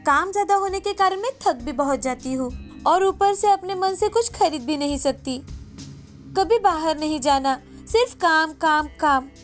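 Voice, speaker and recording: A woman's voice: high-pitched, lively intonation, moderate pace, faint music behind. A woman voicing a sly, greedy young wife in a moral story, with a nagging, complaining, sarcastic manner. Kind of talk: animated moral story dialogue.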